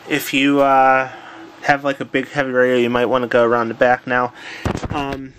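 A man casually demonstrating a home electronics project, unhurried and thinking aloud with fillers, filmed on a handheld camera in a room at home. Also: mid-range voice, fairly bright tone, slight background noise, rough recording. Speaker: tutorial presenter. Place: home room with old radio.

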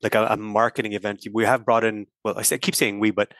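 A man hosts a podcast in a loose, chatty way, filling pauses with "you know". His voice is bright and forward, recorded dry and close. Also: on the low side, flat delivery, dry recording. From Ireland. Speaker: host. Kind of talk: casual podcast chat.

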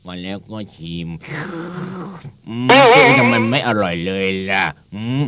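An actor voicing an eager inventor in an educational children's cartoon, musing aloud with little hums. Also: male voice, deep voice, pushed and bright, clear, resonant tone, dry recording, soft background music, exaggerated voice. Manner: slow musing with hums and chuckles.

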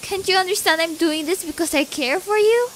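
A woman acting a sadistic young female captor in an ASMR audio roleplay, taunting and gloating with drawn-out, cruel menace. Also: extremely high pitch, slight background noise. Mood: gloating control.

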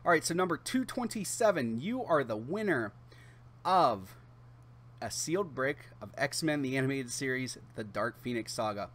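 A man in his thirties hosting a channel, talking casually to camera in a chatty, halting way with drawn-out pauses. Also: mid-range voice, animated, a bit bright. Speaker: YouTube channel host. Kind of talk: casual direct-to-camera giveaway announcement.

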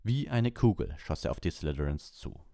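A man's voice: sits low, very animated, moderate pace, creaky, dark tone, no echo. A man who hosts an audiobook livestream, reading and talking close to the microphone. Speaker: audiobook reader and livestream host. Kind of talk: story narration and casual livestream chat.

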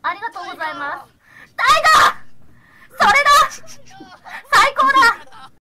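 A woman casually directing a voice drama rehearsal in an animated, encouraging and playful way over an online call. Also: very high-pitched, a little slow, very noisy.

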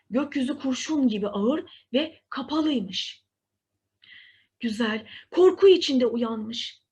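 A woman storyteller reading a scripted fairy tale for children in an expressive, deliberate way. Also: high-pitched.

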